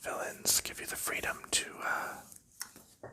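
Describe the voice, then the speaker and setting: A man chatting softly in a whisper for an ASMR video, rambling and chuckling lightly now and then. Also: takes it slowly. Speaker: ASMR creator.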